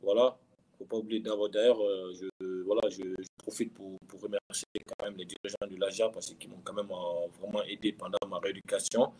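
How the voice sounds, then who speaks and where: A man in his thirties with a fairly low, slightly rough-edged voice tells his story as an interview guest. His delivery is casual and conversational. He often doubles back on words and phrases to press a point. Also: flat delivery, a little fast, dark tone, poor audio. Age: 30s. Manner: repeats words and phrases for emphasis. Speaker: professional footballer, interview guest.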